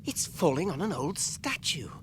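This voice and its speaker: An actor playing a gentle, weary young hobbit gentleman in a radio drama, speaking with earnest wonder. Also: a little high, sing-song, normal speed, background noise. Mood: quiet wonder.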